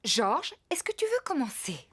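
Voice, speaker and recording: A woman's voice: high-pitched, big pitch swings, moderate pace, a little hiss or hum. A woman voicing a kind, reassuring mother pig in a children's cartoon dub. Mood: encouraging. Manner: gentle, encouraging. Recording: music under the voice.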